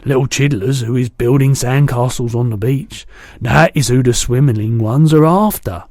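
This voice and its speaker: A man reading aloud and voicing a big, kindly male giant who mangles his words, in a louder and higher voice than his own. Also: moderate pace, dry recording, clean studio sound, lightly acted. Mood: earnest. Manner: jumbled made-up words, booming and eager.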